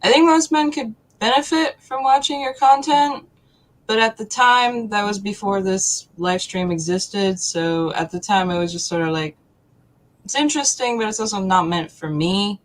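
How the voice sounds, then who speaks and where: A woman, answering casually and haltingly as a talk show guest. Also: mid-range voice, rough recording. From Poland. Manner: hesitant, halting.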